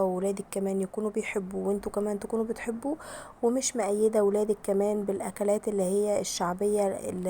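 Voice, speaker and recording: A woman with an Egyptian Arabic accent, hoarse from a cold, chatting to viewers in a home cooking vlog voice-over and sprinkling in blessings. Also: mid-range voice, warm tone, slight background noise. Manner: chatty, addresses viewers directly with frequent blessings.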